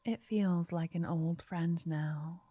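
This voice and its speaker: A woman playing a gentle, flirty fortune teller in an ASMR roleplay, speaking softly in a breathy half-whisper, coaxing and soothing the listener up close. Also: lowish voice, steady tone, slow pace, dry recording. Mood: warm, intrigued. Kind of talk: ASMR roleplay, intimate scripted address to listener. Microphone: close, dry microphone.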